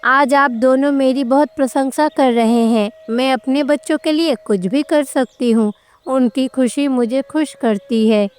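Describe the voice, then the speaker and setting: High-voiced gentle goddess mother, played by a woman. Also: a little slow, soft background music. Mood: tender. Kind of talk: storybook character dialogue.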